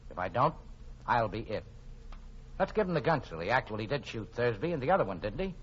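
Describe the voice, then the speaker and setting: Wisecracking tough detective, vintage radio noir: rapid, clipped, commanding, on muffled old recording. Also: male voice, medium pitch, big pitch swings, a bit bright, a little hiss or hum. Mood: urgent, scheming. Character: tough, cynical, wisecracking male private detective. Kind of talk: hard-boiled 1940s radio drama acting.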